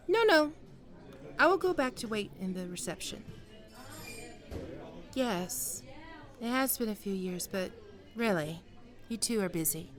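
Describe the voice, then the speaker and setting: Casting: actress as flustered, proud female ex for intimate ASMR roleplay; unhurried, halting delivery, mid-range pitch. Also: natural voice. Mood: awkward, polite. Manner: hesitant, halting, with sighs and trailing off. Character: flustered, wistful, proud writer meeting her former lover.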